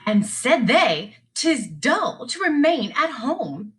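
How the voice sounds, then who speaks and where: Woman, children's storyteller. High, slow, sing-song delivery with deliberate repetition. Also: very animated, a bit bright. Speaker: teacher reading aloud. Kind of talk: sing-song children's read-along narration.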